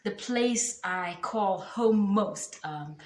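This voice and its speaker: A young woman introducing herself in a thoughtful way, with many filler pauses. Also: medium pitch, darkish tone. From born in the United Kingdom, raised in Zambia, Zimbabwe and Kenya. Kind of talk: self-introduction video. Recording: roomy echo.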